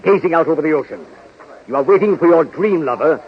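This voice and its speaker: A man's voice: on the high side, lively intonation, fast talker, natural voice. An actor playing an exasperated film director, straining for patience as he spells out instructions in 1930s radio comedy style. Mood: strained patience. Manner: emphatic, spelled-out coaxing instructions. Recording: noisy, muffled 1930s radio recording.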